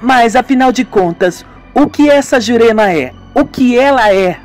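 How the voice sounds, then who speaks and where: A man's voice: very high voice, animated, normal speed, faint music behind, voice pushed up. A man voicing a skeptical young woman who scoffs and doubts everything in a radio soap. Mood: scoffing. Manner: scoffing, incredulous.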